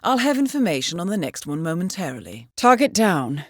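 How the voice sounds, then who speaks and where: A woman's voice: on the low side, big pitch swings, dry recording. Cool spy handler lady for a video game, composed n formal, dry wit, really varied intonation, super dry studio sound. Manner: composed, formal, measured, dryly understated. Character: cool, poised female intelligence handler guiding an assassin. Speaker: mission handler in a stealth assassin video game. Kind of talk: scripted video game mission briefing.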